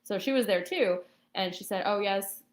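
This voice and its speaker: A woman telling a personal story in a casual, conversational and somewhat halting way. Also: mid-range voice.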